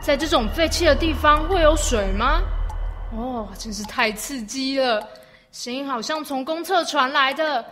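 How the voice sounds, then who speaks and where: A woman's voice: high voice, measured pace, bright, forceful tone, a little hiss or hum. A woman playing a bold, curious, thrill-seeking schoolgirl with a Taiwanese accent in a student radio drama. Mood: excited, eager. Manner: teasing, eager.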